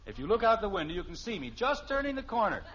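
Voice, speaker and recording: Exasperated middle-aged American suburban dad, fussy and sarcastic, highly animated delivery, muffled old radio sound. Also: male voice, quick pace, husky, exaggerated voice. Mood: dry, impatient. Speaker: lead actor in a family sitcom. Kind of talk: 1950s radio sitcom acting. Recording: muffled, faintly hissy old radio recording.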